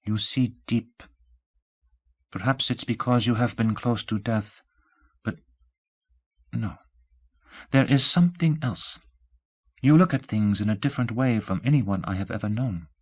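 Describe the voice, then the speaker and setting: A male narrator voicing a candid, shrewd young woman in her early twenties who speaks softly and asks pointed questions in an audiobook. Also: medium pitch, a little slow, long pauses, husky, voice pushed up. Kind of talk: audiobook character voice.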